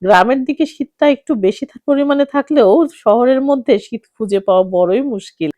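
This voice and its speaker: A woman's voice: mid-range voice, darkish tone, no echo. A woman in her thirties with a Bangladeshi accent, narrating her daily family life in a chatty voice-over that speaks straight to viewers. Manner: chatty, addresses viewers directly. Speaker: family vlogger.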